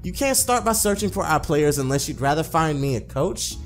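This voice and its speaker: A man's voice: fairly high pitch, very animated, a little fast, soft background music. A man voicing a blunt, demanding young female pro gamer in a visual novel, with a bossy, no-nonsense manner.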